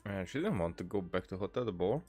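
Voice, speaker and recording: A man with a very deep voice comments casually on a video game. His asides are low-key and hesitant, with faint music playing behind him. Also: lively intonation, fast talker, a little mellow. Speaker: gamer reacting to a playthrough.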